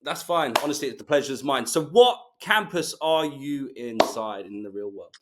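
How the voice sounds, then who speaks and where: Casual, matey male interviewer, mid-pitched. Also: lively intonation, moderate pace, background noise. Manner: informal, matey. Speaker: interviewer, host.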